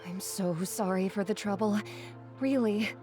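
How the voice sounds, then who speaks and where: An actress playing a gentle, polite, deferential young woman travelling as a companion in a video game. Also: mid-range voice, measured pace, music in the background, natural voice. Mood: apologetic. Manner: courteous, deferential.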